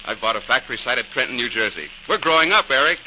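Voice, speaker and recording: An actor playing a driven, determined bridge engineer and father in 1940s radio drama, forceful and decisive. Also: medium pitch, animated, fast talker. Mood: ambitious. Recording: noisy, crackly old radio transcription.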